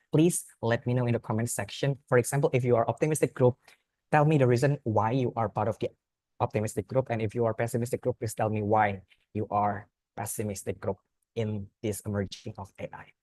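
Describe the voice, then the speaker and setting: A man's voice: medium pitch, darkish tone, no echo. A young man with an Indonesian accent, a native Indonesian speaker, giving an earnest student talk with frequent restarts. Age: young adult. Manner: earnest, plain phrasing with frequent restarts and repeated words. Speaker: student speaker at a youth forum. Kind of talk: informal presentation.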